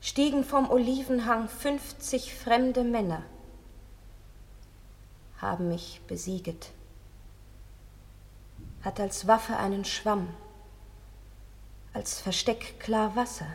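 Very slow, lyrical captive queen. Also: a little high. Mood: lamenting, lyrical. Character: captured foreign queen, recalling her conquest. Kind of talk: German radio play, lyrical verse recital. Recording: long reverberant hall.

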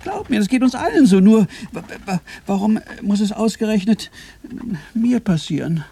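A man's voice: high voice, animated, moderate pace, creaky. An actor playing a flustered, agitated would-be playwright who stammers and repeats his words in a German radio play. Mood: exasperated. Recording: noisy digitized radio cassette.

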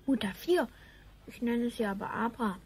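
Woman playing an earnest, high-voiced little boy. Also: normal speed, a little mellow, natural voice. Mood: earnest trusting. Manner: childlike, earnest, innocent. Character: trusting, obedient young boy, a king's son. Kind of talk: 1970s German radio play acting.

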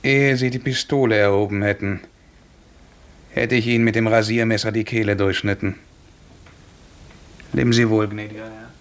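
An actor playing a deferential but quietly defiant serf barber, restrained and measured, in a 1950s German radio drama. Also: male voice, on the low side, steady tone, natural voice.

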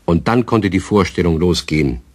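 A man narrating a radio play for children in an expressive storytelling manner. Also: low voice, moderate pace, no echo.

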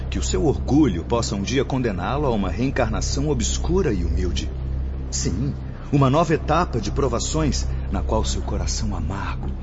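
A man plays a charismatic spiritual brother in a radio drama, lecturing solemnly in a low voice with a Brazilian accent. His delivery is formal and oratorical, on a dull recording. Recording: dull lo-fi audio with background noise.

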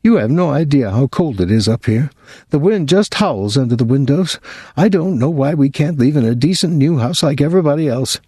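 A man's voice: on the high side, animated, a little fast, dark tone, husky, dry recording, clean studio sound, voice pushed up. A man reading aloud voices an elderly mother who complains shrilly and melodramatically over the phone. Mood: complaining. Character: fretful complaining elderly mother, older woman.

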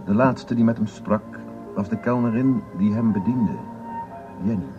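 An actor in a radio drama playing a grave male presenter reading a measured, scripted eulogy. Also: lowish voice, close to an everyday voice. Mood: solemn. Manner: solemn, measured. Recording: dull radio broadcast sound over music.

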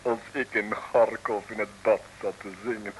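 A man's voice: lowish voice, fairly even intonation, a little slow, slight background noise, close to an everyday voice, poor audio. An actor playing a blustering, vain army major who switches between barking orders and cooing gallantly, in an old radio serial. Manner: barks orders, coos gallantly at ladies. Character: blustering Slavic army major, smitten drill instructor and vain singer. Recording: old 1950s-60s radio recording with music.

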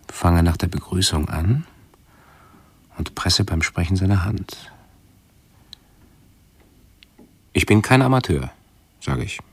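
An actor playing an anxious hypochondriac who broods aloud in a German radio play, pedantic, halting and often interrupting himself. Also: male voice, deep voice, gravelly, slightly rough, no echo, exaggerated voice. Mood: anxious rehearsing. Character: anxious hypochondriac man obsessively rehearsing pleas to doctors.

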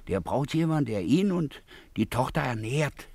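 Needling, creaky-voiced gossiping man. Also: medium pitch, sing-song, normal speed, darkish tone, dry recording. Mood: needling, mocking. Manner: colloquial, drawling interjections, repeats words. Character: needling, falsely soothing gossip of a companion. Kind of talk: 1950s German radio play acting.

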